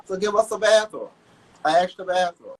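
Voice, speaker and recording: A man's voice: high-pitched, big pitch swings, normal speed, rough recording. Blunt, joking man on a podcast. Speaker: podcast co-host. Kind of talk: casual chat.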